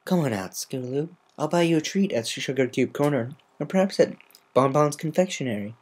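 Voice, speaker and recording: A woman's voice: low-pitched, animated, darkish tone, pitched above the performer's own voice. A woman voicing an elegant, composed female unicorn with a refined, caring manner in a story reading. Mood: soothing, caring. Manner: refined, polite, measured phrasing.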